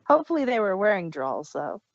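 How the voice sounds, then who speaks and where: A woman's voice: medium pitch, big pitch swings, brisk, dry recording, lo-fi sound. A woman from the Chicago area with a Midwestern American accent, co-hosting a podcast in casual chat with dry, punning humor. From Chicago area, United States. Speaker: podcast co-host.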